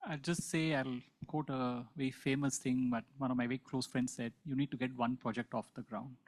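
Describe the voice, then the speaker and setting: Panelist man, mid pitch, brief remark. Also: fairly even intonation, a little fast. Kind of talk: panel discussion.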